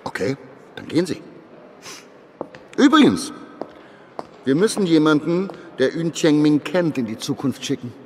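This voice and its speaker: A man's voice: on the high side, big pitch swings, a little slow, pauses often. An actor in a radio drama playing a cold, curt, calculating chief of an intelligence agency, a man who does not yield. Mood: insistent, commanding. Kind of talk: modern radio drama acting. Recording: long reverb, noisy ambience.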